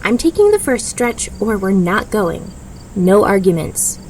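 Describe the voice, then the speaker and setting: A woman's voice: mid-range voice, very animated, measured pace, a little mellow, a little hiss or hum, low-quality recording. An actress playing a spunky, bossy young woman adventurer in an audio drama, speaking firmly and protectively. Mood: firm, insistent. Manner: firm, assertive.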